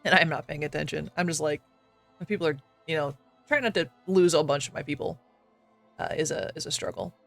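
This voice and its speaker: A man streamer, slightly raspy from coughing, chatting casually and self-deprecatingly with lots of fillers and quick laughs while he plays. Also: on the high side, a bit bright, soft background music. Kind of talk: casual live-stream chat while gaming.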